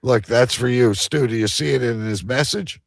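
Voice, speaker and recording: A man's voice: medium pitch, moderate pace, vocal fry, no echo. A man hosting a live talk show, reading out odd news and bantering casually with crude jokes. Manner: crude, joking.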